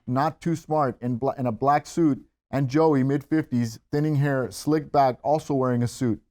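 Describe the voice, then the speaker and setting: A man's voice: medium pitch, darkish tone. A man narrating screenplay stage directions at a table read.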